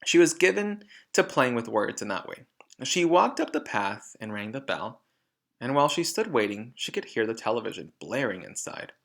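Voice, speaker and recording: A man reading a children's storybook aloud in an expressive narrating style. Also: medium pitch, moderate pace, gravelly. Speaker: storybook reader.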